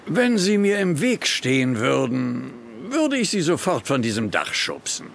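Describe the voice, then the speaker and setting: Creaky, spiteful hospital bully. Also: male voice, medium pitch, wildly swooping pitch, measured pace, a little hiss or hum, full character voice. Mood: taunting. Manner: taunting, mock-menacing. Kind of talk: German TV comedy dub acting.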